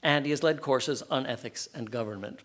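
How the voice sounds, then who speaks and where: A man's voice: mid-range voice, a little fast, a bit bright. Man reading out a formal introduction. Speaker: event host.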